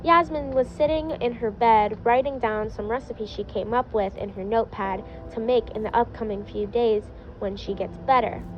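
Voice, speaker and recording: A woman narrates a story in a fairly high voice. She reads from a script, with music playing behind her and a dull, lo-fi sound. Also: moderate pace, few pauses. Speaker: fan fiction reader. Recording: dull lo-fi audio.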